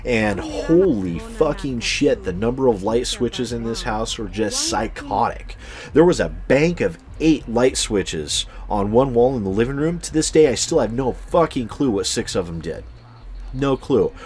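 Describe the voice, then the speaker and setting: A man's voice: lowish voice, a little hiss or hum. A man telling a casual, rambling personal story as a channel host, swearing freely with exaggerated emphasis.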